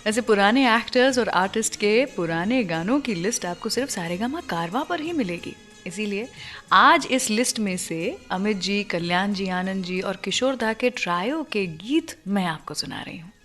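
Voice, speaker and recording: A woman's voice: mid-range voice, expressive, normal speed, a bit bright. A woman hosting a radio show, telling stories about film songs in a conversational way and putting questions straight to listeners. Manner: addresses listeners directly with questions. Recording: music playing behind the voice. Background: background music.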